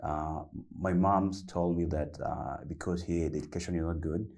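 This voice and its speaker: A young man from South Sudan telling his story on a panel, halting and restarting often with many fillers. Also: deep voice, steady tone, a little mellow. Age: young adult. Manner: halting with many repeats and fillers, loose grammar. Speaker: panelist, youth founder. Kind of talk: panel discussion, personal story.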